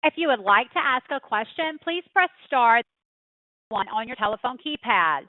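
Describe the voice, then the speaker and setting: A woman's voice: on the high side, animated, normal speed, dry recording. A woman working as a conference call operator, reading scripted announcements. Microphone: telephone. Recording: dry, tinny phone line.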